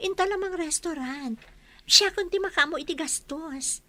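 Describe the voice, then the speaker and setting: A woman's voice: extremely high pitch, normal speed, sharp tone. An actress playing an insistent, coaxing young woman in a radio drama. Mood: coaxing, pushy. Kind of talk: Ilocano radio drama acting.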